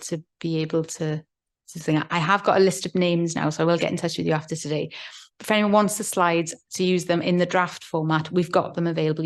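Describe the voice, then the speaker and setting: A woman's voice: sits low, gravelly, darkish tone. A woman from Wales, a fluent Welsh speaker, leading a workshop discussion in a chatty, encouraging way with many restarts. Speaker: workshop facilitator.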